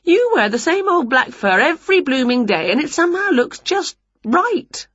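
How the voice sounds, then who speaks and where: Woman putting on a high, swooping, scatty witch. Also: sing-song, normal speed, a bit bright, no echo, highs cut off. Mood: wistful, bright. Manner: exclamatory, colloquial, comically grumbling. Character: excitable scatty witch with skinny legs, daft and cheeky.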